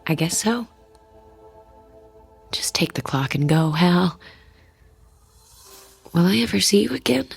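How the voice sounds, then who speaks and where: An actress playing a weary but resolute wife in a radio drama, measured and wistful in manner. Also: female voice, on the low side, expressive, vocal fry, husky, soft background music, full character voice. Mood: resigned. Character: weary, resolute wife bargaining with a supernatural tormentor.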